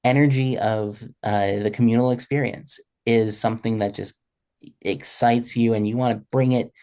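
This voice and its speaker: Male panelist, mid-pitched, casual and reflective, often restarting phrases as he works out a thought. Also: fairly even intonation, warm tone. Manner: halting, repeats words while thinking. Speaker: panelist who presents music.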